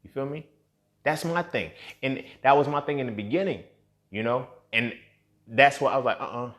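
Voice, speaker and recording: A man's voice: mid-range voice, normal speed, a bit bright. A thirty-year-old man giving a casual, unscripted podcast monologue in a blunt, slangy, heavily profane and emphatic way. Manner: emphatic, with rhetorical questions and check-ins. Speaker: podcast host and entrepreneur.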